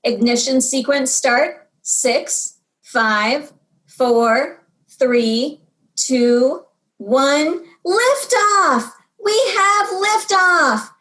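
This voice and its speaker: Storybook young girl, space-struck and eager, higher register, emphatic delivery played by a woman. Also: lively intonation, very slow, a bit bright, exaggerated voice, poor audio. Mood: excited countdown.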